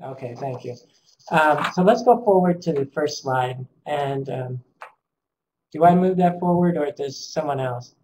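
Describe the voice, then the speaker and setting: A man with a raspy, strained voice presenting an education webinar over a call, pausing often with ums. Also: a little high, a little mellow, a little hiss or hum, rough recording. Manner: hesitant, many ums, measured.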